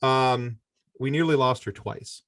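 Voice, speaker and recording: A man's voice: medium pitch, a bit bright, no echo, clean studio sound. A middle-aged man telling a personal story as a podcast guest, talking conversationally and often stopping to correct himself. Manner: halting, conversational, self-correcting delivery.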